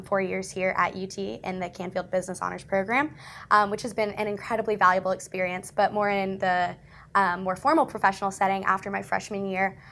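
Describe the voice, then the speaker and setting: Quick, chatty young woman student. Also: medium pitch, bright, forceful tone, slight background noise. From South Florida. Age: young adult. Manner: quick, chatty, with frequent ums. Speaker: business honors student. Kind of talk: panel self-introduction.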